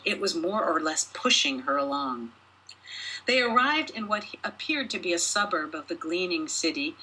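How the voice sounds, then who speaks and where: Female author-narrator, bright and forceful with a husky edge, expressive storybook read with deliberate phrasing. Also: on the low side, poor audio. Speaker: author reading own short story.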